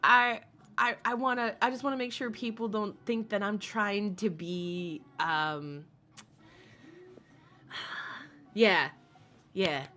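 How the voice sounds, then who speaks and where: A woman's voice: medium pitch, fairly even intonation, slow, pauses often, pushed and bright. A woman commenting casually to her live chat, rambling and laughing often, with asides to viewers. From Alaska. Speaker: commentary streamer.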